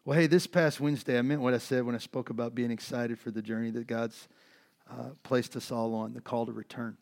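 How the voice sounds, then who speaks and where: A man's voice: medium pitch, steady tone, fairly quick, vocal fry, darkish tone. A middle-aged man preaching in a conversational, earnest way, his voice worn from overuse, with many fillers and restarts. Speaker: pastor. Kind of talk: sermon.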